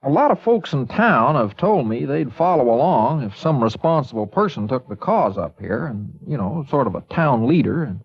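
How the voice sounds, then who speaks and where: A calm, folksy small-town newspaperman talks in an easygoing drawl. His voice is a little husky. The sound is dull and lo-fi, like an old radio broadcast. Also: medium pitch, moderate pace, few pauses, faint music behind. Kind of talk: 1950s radio acting.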